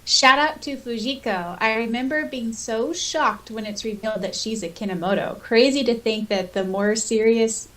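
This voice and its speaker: A woman's voice: a little high, normal speed, hardly any pauses, fairly bright tone, rough recording. A giggly, enthusiastic woman co-hosting a podcast, chatting casually about fan topics and reader comments. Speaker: podcast co-host. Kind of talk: casual fan discussion, reading listener comments aloud.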